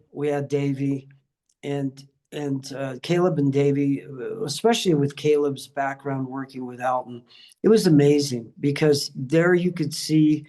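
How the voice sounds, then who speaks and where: A man's voice: on the high side, warm tone. An older man casually reminiscing in a group interview, earnest and stop-start, often repeating words as he goes. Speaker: musician being interviewed.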